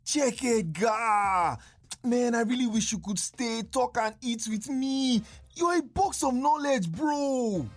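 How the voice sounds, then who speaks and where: A man's voice: extremely high pitch, expressive, takes it slowly, pitched above the performer's own voice, full character voice. A man voicing an effusive, pushy male fan with a Nigerian accent, insistently repeating words in a comic story. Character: excitable, pushy adult male fan, gushing. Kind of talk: acted dialogue in comic storytelling.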